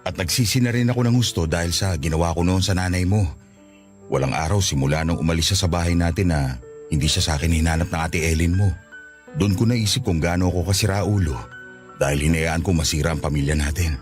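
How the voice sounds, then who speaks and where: A man's voice: sits low, fast talker, soft background music, lightly acted. A man playing a repentant estranged father in a radio drama, pleading and emotive. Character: repentant estranged father begging to rebuild his family. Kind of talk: Tagalog radio drama acting.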